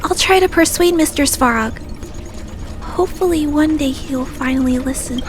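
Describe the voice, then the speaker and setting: A woman voicing a gentle, timid little girl in a video game, polite and earnest, with hesitant, stammering speech. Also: sits high, normal speed, a little hiss or hum, close to an everyday voice. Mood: earnest, apologetic. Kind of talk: video game cutscene voice acting. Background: game music.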